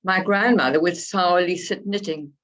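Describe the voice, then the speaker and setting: A woman from Africa, slightly hoarse from a cold, reading her poems and introducing them in a reflective, unhurried way. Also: fairly low pitch, fairly bright tone. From South Africa. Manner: unhurried, reflective, pausing between phrases. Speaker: poet. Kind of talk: poetry reading with spoken introductions. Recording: dull lo-fi recording.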